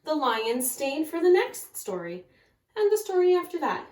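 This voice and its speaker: Woman, kindergarten teacher, high pitch, reading to children, roomy distant recording. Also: big pitch swings, normal speed, darkish tone. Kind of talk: children's lesson and storybook read-aloud. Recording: echoey room, far from mic.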